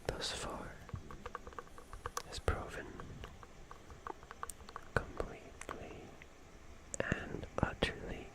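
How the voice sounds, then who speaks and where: A person whispering ASMR musings in a breathy, unvoiced voice, with deliberate short phrases. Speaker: ASMR streamer.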